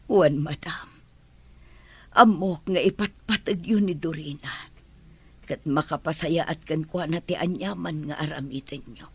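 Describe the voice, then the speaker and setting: A meek household servant in a radio drama. Her Filipino-accented voice is lowish and very breathy, soft and pleading. She sounds patient, kindly and humble before her employers. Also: a little slow, heavily acted. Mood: earnest, deferential.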